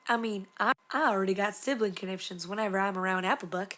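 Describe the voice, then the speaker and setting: A woman's voice: mid-range voice, fast talker, a bit bright, close to an everyday voice. Folksy farm mare, acted by a woman. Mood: earnest, puzzled. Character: folksy, plainspoken female farm pony.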